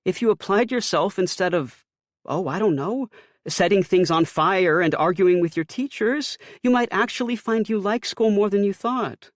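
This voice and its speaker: A man voicing a warm, nagging middle-aged mother in a raised, lilting voice while narrating a story. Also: moderate pace, dry recording, clean studio sound, natural voice. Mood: lecturing. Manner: high, lilting, motherly lecturing tone.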